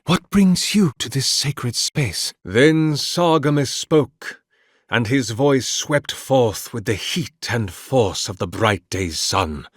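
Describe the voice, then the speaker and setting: A man's voice: mid-range voice, slightly rough, no room sound, studio quality, heavily acted. A man playing a devout, grandiose male oracle in a video game, speaking in a solemn, florid, sermonizing way as a zealous, mournful servant of a god. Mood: grandiose, reverent. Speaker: video game character voice actor. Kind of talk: theatrical scripted game dialogue.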